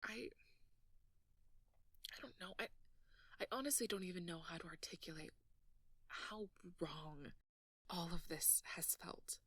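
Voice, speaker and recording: A woman playing a nerdy, sleepy, flustered and playfully teasing girlfriend in an intimate ASMR roleplay, speaking softly and haltingly, often near a whisper. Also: on the low side, animated, no room sound, distant mic, exaggerated voice. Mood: sheepish, weary. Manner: halting, bashful, conversational.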